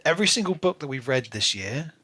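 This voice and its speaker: A man's voice: medium pitch, very animated, fast, pushed and bright, no echo. A man co-hosting a book podcast, talking in a casual, conversational style. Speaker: podcast co-host.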